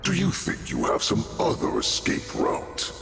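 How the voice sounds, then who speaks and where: An actor playing a menacing, gloating beast-warlord villain in a video game, with a gravelly growl and a slow, taunting delivery. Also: male voice, lowish voice, huge pitch swings, reverberant, over music, heavily acted. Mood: gloating menace. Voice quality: growling, gravelly, breathy rasp. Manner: slow, taunting, savoring each threat. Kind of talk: dramatic video game voice acting.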